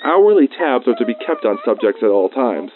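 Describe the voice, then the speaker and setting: A man playing a researcher who records a semi-formal audio diary about creatures he is fond of, measured with informal asides, through a radio-like filter. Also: mid-range voice, big pitch swings, background noise. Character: male facility researcher logging notes, fond of creatures. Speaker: researcher recording a personal log. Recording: dry, thin phone-like radio sound.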